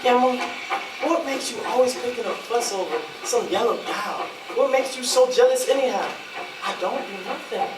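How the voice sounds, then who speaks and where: A man playing a smooth-talking, placating lover in a staged play, speaking coaxing Southern folk dialect. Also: very high-pitched, normal speed. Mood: hurt, coaxing. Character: smooth-talking, placating male sweetheart, easily hurt. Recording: roomy, distant mic, noisy.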